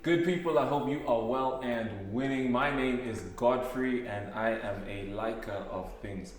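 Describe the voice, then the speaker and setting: A man's voice: medium pitch, unhurried. A young man from Zimbabwe, a guest in a casual group chat, playful and jokey. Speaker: guest, creative artist. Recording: very echoey room.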